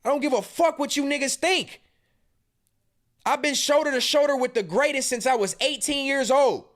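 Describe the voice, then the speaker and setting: A man's voice: extremely high pitch, moderate pace, a bit bright. A man in his thirties hosting a podcast, giving heated, profane commentary as he reacts to a stream clip and mocks the people in it. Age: 30s. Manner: profane, mocking, confrontational. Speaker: podcast host.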